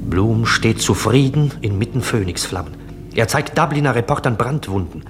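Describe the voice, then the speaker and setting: An actor playing a solemn narrator who recounts grotesque scenes in a scripted German radio drama. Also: male voice, medium pitch, animated, moderate pace, slight background noise, lightly acted. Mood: solemn, declaiming. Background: loud music.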